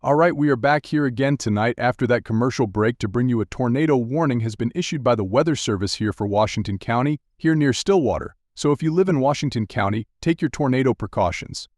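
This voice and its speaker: A man playing a TV meteorologist who guides viewers through severe storms with steady, urgent, direct instructions in a live broadcast style. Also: medium pitch, a little fast, hardly any pauses, dry recording, clean studio sound, lightly acted. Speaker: TV weather broadcaster.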